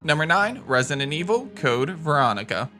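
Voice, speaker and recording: A man's voice: fairly high pitch, sing-song, normal speed. A man hosting a video game countdown, reading scripted narration in an enthusiastic, emphatic way. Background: music.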